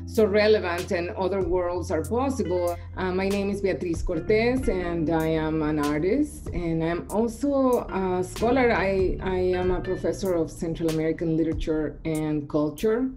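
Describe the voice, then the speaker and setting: A woman in her forties from El Salvador, an artist and professor, speaking thoughtfully at home over a webcam with frequent um and uh pauses. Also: lowish voice, mellow. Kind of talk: reflective interview monologue. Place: indoor home room. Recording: music playing under the voice. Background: guitar music.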